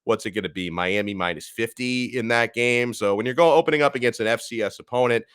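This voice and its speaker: A man's voice: mid-range voice, fast, fairly bright tone, smooth, dry recording. Casual sports podcast man, dry close sound. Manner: conversational, punctuates lists with asides. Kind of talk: casual sports talk, reading through figures.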